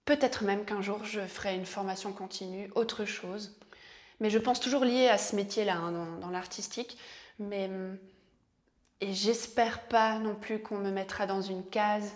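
A young woman actress, answering interview questions in a chatty, reflective way with restarts. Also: medium pitch. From French-speaking Switzerland.

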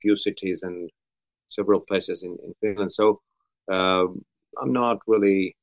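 A man raised in Austria talks as a podcast guest with a slightly low voice. He rambles and jokes, restarting his sentences as he goes. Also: steady tone, unhurried, vocal fry, low-quality recording. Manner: chatty, joking, restarts often. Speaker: guest musician. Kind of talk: casual podcast chat.

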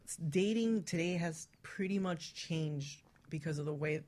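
A woman's voice: sits low, unhurried, darkish tone. A woman hosting a casual radio panel chat, talking bluntly and swearing freely. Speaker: radio show host.

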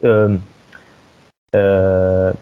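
A man's voice: sits low, completely flat delivery, very slow, clean tone, phone-quality sound. A man in a casual chat, hesitant and full of fillers as he searches for words. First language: Hungarian. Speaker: guest.

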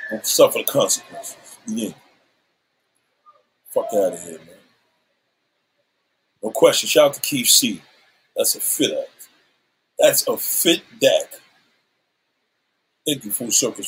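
A man's voice: medium pitch, expressive, unhurried, pauses often, fairly bright tone, a little hiss or hum, poor audio. A man in his seventies ranting on a livestream, combative and profane, issuing threats and calling out rivals. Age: 70s.

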